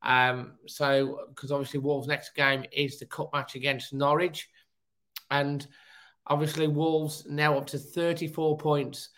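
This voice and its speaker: A man with a hoarse, cold-roughened voice, hosting a casual football fan chat show. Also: a little high, level tone, measured pace, fairly bright tone. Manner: chatty, upbeat, fan talk. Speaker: football podcast host.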